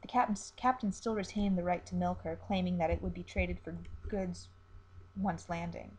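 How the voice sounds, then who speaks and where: A woman storyteller reading a dark, dramatic tale as scripted narration. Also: lowish voice, fairly even intonation, normal speed, low-quality recording.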